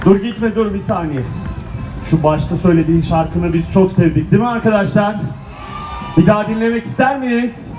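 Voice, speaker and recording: A man's voice: sits high, moderate pace. A man hosting a children's stage event, rallying the audience with exclamations and prompts. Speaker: children's show host. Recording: echoey hall, loud music and crowd.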